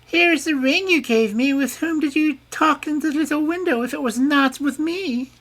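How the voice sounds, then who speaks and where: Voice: high voice, normal speed, pitched above the performer's own voice. A storybook narrator voicing a spiteful young princess who shrieks her words in a piercing voice. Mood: accusing. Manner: piercing, loud and indignant.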